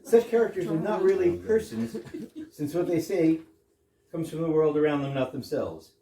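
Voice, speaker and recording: A man's voice: a little high, normal speed, warm tone, a little hiss or hum. A man giving a humorous prepared introduction to an audience, explaining things with dry wit. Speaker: guest introducer of the play. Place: indoor performance space with audience. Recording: echoey room, distant microphone.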